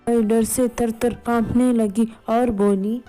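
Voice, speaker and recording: A woman's voice: fairly high pitch, steady tone, slow, warm tone, low-quality recording. A woman narrating a scripted moral story as a storyteller. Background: background music.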